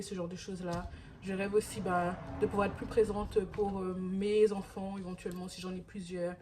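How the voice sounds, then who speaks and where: A woman's voice: mid-range voice, a little mellow, slight background noise. A woman in her thirties talking casually to camera in a vlog, rambling with many restarts and fillers. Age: 30s. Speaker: vlogger.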